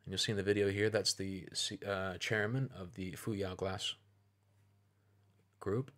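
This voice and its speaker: A man giving an opinionated video commentary, speaking in a measured, deliberate and persuasive way. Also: deep voice, flat delivery, a bit bright.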